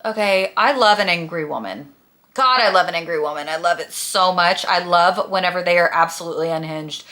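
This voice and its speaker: A woman's voice: lowish voice, fairly quick, sharp tone. A young adult woman chatting casually and emphatically to camera as a book vlogger, with a gushing manner. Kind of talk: casual chat about books to camera.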